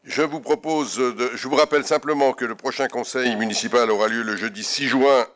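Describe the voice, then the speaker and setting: A man chairing a council meeting, making informal announcements in a halting way with repeated words. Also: mid-range voice, steady tone, a bit bright. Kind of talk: informal closing remarks and announcements.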